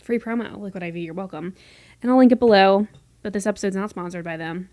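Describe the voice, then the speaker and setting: Chatty fast-talking young woman. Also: mid-range voice, warm tone, no echo. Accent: mostly neutral American, with a light Southern lilt at times. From North Carolina, US. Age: 20s. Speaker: podcast host. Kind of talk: casual solo podcast chat. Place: her room at home.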